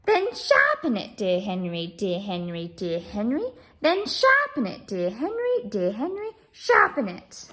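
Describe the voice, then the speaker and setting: A woman's voice: medium pitch, huge pitch swings, unhurried, a bit bright, voice pushed down. A woman voicing an exasperated, bossy storybook woman with emphatic, sing-song replies.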